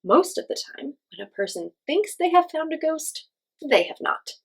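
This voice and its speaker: A woman's voice: high voice, sing-song, normal speed, vocal fry. A woman hosting a children's read-aloud, reading a picture book expressively and speaking directly to young listeners with simple questions and explanations.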